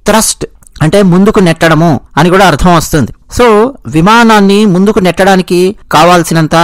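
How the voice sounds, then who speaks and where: Man, Telugu accent, high-pitched, dry sound, explanatory news commentary, rephrases points. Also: no room sound.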